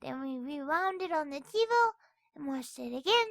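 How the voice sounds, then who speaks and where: A woman voicing an excited, innocent little girl gushing over her diary in a story reading. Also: sits high, normal speed, creaky, dry recording, clean studio sound. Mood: dreamy delight. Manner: gushing, breathless enthusiasm.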